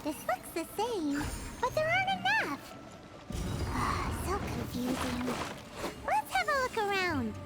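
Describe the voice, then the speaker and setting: A woman voicing a tiny, childlike fairy companion in an anime game, squeaky and easily puzzled. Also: huge pitch swings, slow, pauses often, a bit bright, full character voice. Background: game music.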